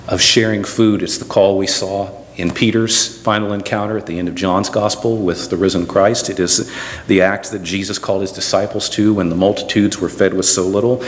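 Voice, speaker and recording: Lower-voiced male pastor in a reverberant hall. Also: steady tone, moderate pace. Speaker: pastor leading the service. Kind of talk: church service address. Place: large church room. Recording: echoey church hall.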